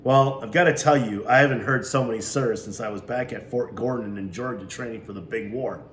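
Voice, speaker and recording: A man's voice: medium pitch, fairly quick, a bit bright, close to an everyday voice. A man doing a teasing folksy old grandfather. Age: older. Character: teasing older grandfather driver, jovial. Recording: roomy echo, faint hiss.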